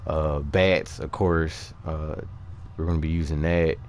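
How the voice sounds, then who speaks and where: Low-voiced man casually explaining a game build. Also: steady tone, a little mellow. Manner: halting, informal slang. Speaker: gaming video creator presenting character builds. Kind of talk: casual unscripted gameplay walkthrough commentary.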